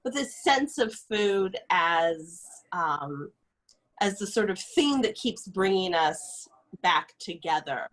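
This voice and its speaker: Husky, animated woman interviewer. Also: lowish voice, slow, rough recording. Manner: rambling questions with many hedges like "sort of". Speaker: interviewer, resident scholar. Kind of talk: conversational interview. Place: video call.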